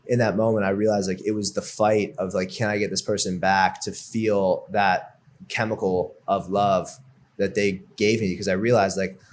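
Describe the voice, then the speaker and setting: A man's voice: lowish voice, little pitch movement, normal speed. A young man talking openly as an interview guest, reflective and filling his speech with "like". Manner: open, reflective, peppered with "like". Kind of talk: casual interview chat.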